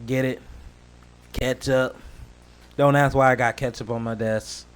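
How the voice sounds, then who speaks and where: A man's voice: mid-range voice, fairly even intonation, measured pace, a little hiss or hum. A man doing casual reaction commentary as a host, slangy and joking, drifting into rambling asides.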